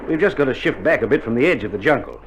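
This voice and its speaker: An actor playing a reassuring Australian army doctor in a war radio drama, speaking in casual slang and playing down danger. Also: male voice, mid-range voice, expressive, rapid-fire, natural voice. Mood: breezy, reassuring. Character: breezy, reassuring male army doctor in wartime field hospital. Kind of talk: 1960s radio drama acting. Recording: muffled old vinyl drama, loud battle noise.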